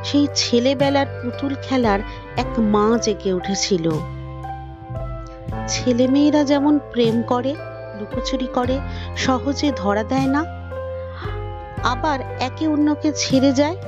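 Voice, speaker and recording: A woman's voice: high voice, slow, long pauses, highs cut off. A woman voicing a tender, motherly wife who narrates her married life reflectively and intimately in an audio story. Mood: reflective, wistful. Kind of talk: dramatised audio story narration in first person. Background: prominent music.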